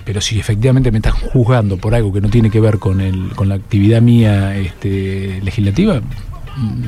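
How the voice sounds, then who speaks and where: A middle-aged man with an Argentine Spanish accent, answering interview questions as a political candidate and pausing often on fillers and restarts. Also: fairly low pitch, steady tone, mellow, soft background music. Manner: measured, with many fillers and restarts.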